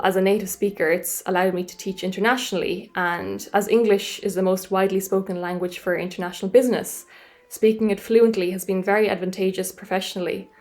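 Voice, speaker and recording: A woman with an Irish accent, a language teacher hosting a podcast, reading a scripted explanation in a measured, clearly enunciated way. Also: mid-range voice. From Ireland. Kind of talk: scripted educational narration.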